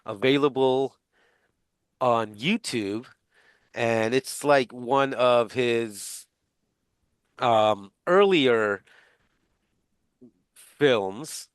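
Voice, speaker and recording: A man's voice: medium pitch, very slow, pauses often, smooth, no room sound. A middle-aged man teaching a class over a video call, talking casually with lots of fillers and restarts. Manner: rambling, many fillers and restarts. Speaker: instructor.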